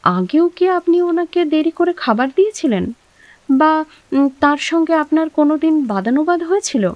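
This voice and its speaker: A woman's voice: high voice, expressive, brisk, no echo, lo-fi sound. An actress playing a cautious but sympathetic woman resident, speaking politely and asking probing questions in an audio drama.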